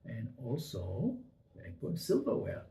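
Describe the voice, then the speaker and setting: Gravelly older man in an echoey room. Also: mid-range voice, huge pitch swings, warm tone. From Israel. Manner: halting, with many fillers and restarts. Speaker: interviewee. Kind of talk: oral history interview. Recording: echoey room, faint noise.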